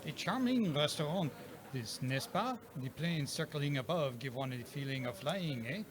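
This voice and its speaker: A man's voice: fairly high pitch, animated, moderate pace, lightly acted. A man playing a courtly, self-assured detective in a radio mystery play, with a French accent and a precise, theatrical manner. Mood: genial, explaining. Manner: precise, theatrical, savoring his explanations.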